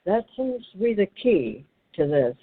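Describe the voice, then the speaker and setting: A woman's voice: medium pitch, very animated, unhurried, husky, no echo. A woman talking earnestly and conversationally about spiritual ideas, repeating phrases for emphasis and restarting sentences, over a thin phone-like connection. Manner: emphatic repetition, broken restarts. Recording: narrow, tinny phone-line sound.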